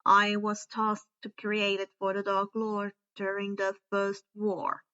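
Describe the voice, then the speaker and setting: A woman's voice: medium pitch, monotone, slow, bright, forceful tone, smooth, muffled recording. A woman voicing a sullen, sneering male wizard professor in a fantasy story reading. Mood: ashamed. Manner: sneering, curt.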